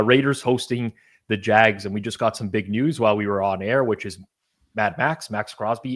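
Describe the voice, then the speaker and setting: A man's voice: on the low side, a bit bright. A man hosting a sports betting discussion, talking casually with restarts and dry asides. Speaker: betting show host.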